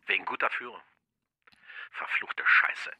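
A man's voice: a little slow, bright, forceful tone, in a whisper. An actor playing a rattled, blunt middle-aged tough guy on the phone in a radio drama, swearing colloquially. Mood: frightened, bitter.

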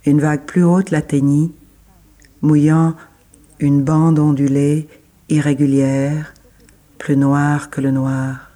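A man narrates literary prose in a fairly high, mellow voice. He takes his time, setting each short phrase down deliberately with pauses between. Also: flat delivery.

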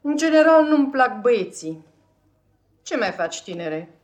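An actress playing a severe, sinister, controlling sister-in-law in a radio play, speaking in a curt, haughty, condescending way. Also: female voice, on the high side, sing-song, a little mellow. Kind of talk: 1950s radio theatre acting.

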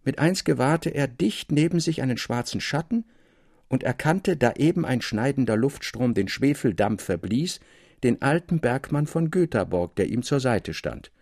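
Male audiobook narrator for classic fiction: dramatic, gravelly edge, dry studio recording. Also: mid-range voice, animated, a little mellow, no echo. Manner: dramatic, measured storytelling. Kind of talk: expressive literary audiobook narration.

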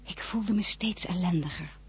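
An actress playing a tender, wry young woman narrating her diary in a radio drama, soft, husky and breathy. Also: fairly low pitch, moderate pace. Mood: reflective.

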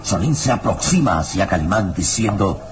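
A man's voice: low voice, big pitch swings, normal speed, slight background noise. A man performing as the solemn, suspenseful storyteller of an old adventure radio serial, with dramatic scripted narration.

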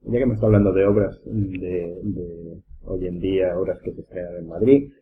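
Man, Castilian Spanish, low pitch, casual rambling host, dull sound, faint background noise. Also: fairly even intonation, fairly quick. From Spain. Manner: informal, rambling. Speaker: podcast host. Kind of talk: casual round-table chat.

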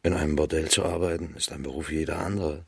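A tough imperial guard colonel in a radio drama. His voice is extremely deep and very raspy, with a rough edge. He talks tersely and plainly, like a soldier. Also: fairly even intonation, fast talker, no room sound, exaggerated voice. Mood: direct, curious.

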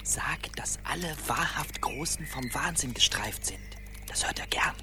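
Little earwig soldier, wildly swinging pitch. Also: male voice, lively intonation, unhurried, bright, forceful tone, in a whisper. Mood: secretive whisper. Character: small earwig soldier, cheeky, weary of soldiering. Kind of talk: radio play acting. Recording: music behind the voice.

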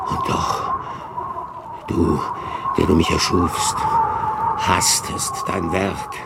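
A wretched, towering artificial creature speaks slowly in a radio drama. The breathy, gravelly voice swings widely, halting and then surging as it pleads and threatens. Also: male voice, low voice, very noisy, exaggerated voice. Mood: bargaining, wounded. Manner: halting, heavy delivery with sudden surges. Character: huge, wretched male man-made creature, rejected, pleading and menacing. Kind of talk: dramatic radio play acting.

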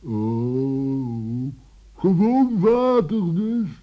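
An actor voicing a silly, muddled, childlike badger with a husky voice in a children's radio play. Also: male voice, fairly high pitch, drawn-out words, highs cut off. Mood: confused. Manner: muddles words, bumbling. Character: dim-witted, muddled, lazy badger, childlike and talkative.

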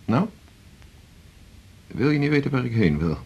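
An actor playing a domineering, condescending senior hospital doctor in a radio drama. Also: male voice, medium pitch, very animated, unhurried, gravelly, darkish tone, breathy edge, highs cut off, full character voice. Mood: probing. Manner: pointed, condescending, commanding. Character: domineering, prying male senior hospital doctor, needy underneath. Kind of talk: 1980s Dutch radio drama acting.

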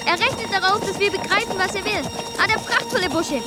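A woman's voice: very high-pitched, brisk. A woman playing a confident boy who acts as a commanding, decisive balloon adventurer in a radio play. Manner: decisive, dramatic. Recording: loud music behind the voice.

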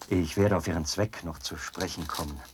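An actor playing a zealous young penal colony officer and judge in a German radio drama, explaining in precise, formal standard German with a husky voice. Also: male voice, sits low, moderate pace, slight background noise, lightly acted. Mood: matter-of-fact, instructive.

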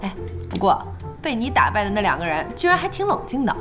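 An actress playing a brisk, spirited internet cafe owner in an audio drama. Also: female voice, medium pitch, very animated, over music, lightly acted. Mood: exasperated, amused. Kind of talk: Chinese audio drama acting.

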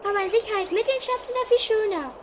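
A woman's voice: extremely high pitch, fast, noisy background. A woman playing a greedy, bossy, snappish treasure hunter in an amateur comedy radio play.

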